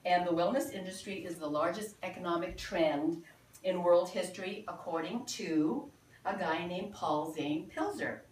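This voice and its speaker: Older lady talking at a meeting, kinda low voice, lots of ums, far off mic in an echoey room. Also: a little mellow. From Philippines. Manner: halting, many fillers, earnest. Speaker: presenter at a sales meeting. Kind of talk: informal presentation.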